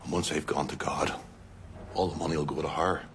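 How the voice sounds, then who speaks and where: An actor playing a solemn, resigned man, grave and measured, in a game cutscene. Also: rumbling low, flat delivery. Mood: grave resignation. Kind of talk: dramatic game cutscene acting. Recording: faint background noise and ambience.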